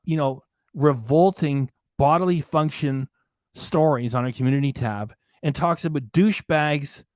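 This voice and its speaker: A man's voice: fairly high pitch, dry recording, studio quality. A man hosting a reaction live stream, losing his voice, ranting indignantly and repeating himself. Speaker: reaction channel host. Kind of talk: live stream commentary reading chat. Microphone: desk microphone, hidden behind a graphic.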